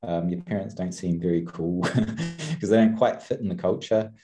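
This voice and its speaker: A man's voice: sits low, moderate pace, mellow, faint music behind. A man with a New Zealand accent, a guest in a casual video-call interview, talking hesitantly with many ums and restarts through a headset mic. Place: small room with chalkboard, indoors.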